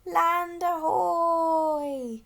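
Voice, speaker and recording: A woman voicing a helpful snow petrel with a breathy, squawky voice in a children's storybook. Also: extremely high pitch, fairly even intonation, extremely slow, a bit bright, pitched above the performer's own voice, full character voice. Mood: excited. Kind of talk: children's storybook read-aloud.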